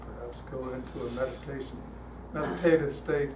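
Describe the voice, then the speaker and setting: An older man speaking as a guest at a church, telling stories in a relaxed, chatty way with frequent fillers, his voice calm. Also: medium pitch, moderate pace. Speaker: guest speaker leading a guided meditation. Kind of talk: storytelling talk to a congregation. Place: church hall. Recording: echoey hall, heard from a distance, noisy.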